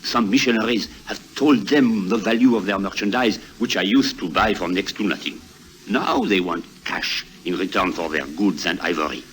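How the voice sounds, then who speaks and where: An actor playing a shady, venerable French trader captain with a French accent and courtly, hesitant manner in a vintage radio drama. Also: male voice, deep voice, normal speed, fairly bright tone. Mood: suave. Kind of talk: 1950s radio drama acting. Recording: noisy vintage radio recording.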